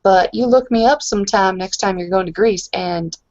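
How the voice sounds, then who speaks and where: A woman's voice: mid-range voice, moderate pace, a little mellow. A woman improvising in-character conversation as a player in a roleplaying game. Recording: dull, lo-fi online voice chat.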